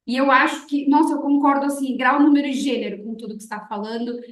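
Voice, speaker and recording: A woman of twenty-nine with a Brazilian accent hosting a casual interview in an expressive, emphatic, colloquial way. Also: high-pitched, fast. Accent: Brazilian Portuguese. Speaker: interview host, English teacher. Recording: echoey room, distant from microphone.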